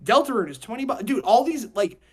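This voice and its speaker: A man's voice: very high voice, sing-song, brisk, husky. A young man talking casually and emphatically on a podcast, rambling through his opinions in slangy speech. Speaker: podcast host.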